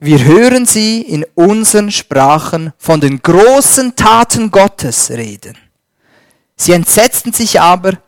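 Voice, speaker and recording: A man preaching a sermon and reading scripture, slow and emphatic, swinging between loud stresses and a dropped voice. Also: on the high side, dry recording.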